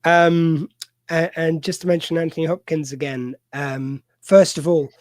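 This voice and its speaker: Man, host, fairly high pitch, rambling, halting, lots of fillers, dry close sound. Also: level tone, a little mellow, dry recording. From United Kingdom. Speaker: podcast host. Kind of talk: casual film discussion. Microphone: video call mic.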